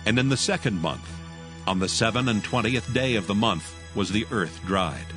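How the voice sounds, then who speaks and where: A man with a fairly low voice gives a solemn, measured reading as a scripture narrator. Music plays clearly behind his scripted narration. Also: animated.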